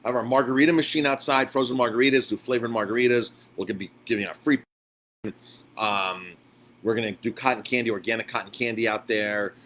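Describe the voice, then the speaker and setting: A man giving a casual, unscripted live update as a restaurant owner, speaking informally and haltingly and often repeating himself. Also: medium pitch, fairly even intonation, fairly bright tone. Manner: halting, repetitive, informal address to followers. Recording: clearly noisy background ambience.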